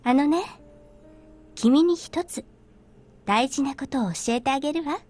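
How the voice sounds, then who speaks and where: An actress playing a gentle, solemn older sister speaking to a child in a Japanese visual novel game. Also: female voice, high-pitched, brisk, soft background music, lightly acted.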